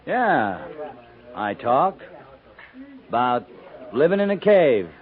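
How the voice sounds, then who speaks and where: Terse cavalry captain, tinny radio. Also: male voice, medium pitch, sing-song. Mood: taken aback, subdued. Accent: American. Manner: terse, halting, understated. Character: laconic, awkward, steady cavalry captain of the Old West. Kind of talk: 1950s radio drama acting. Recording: tinny, hissy 1950s radio recording.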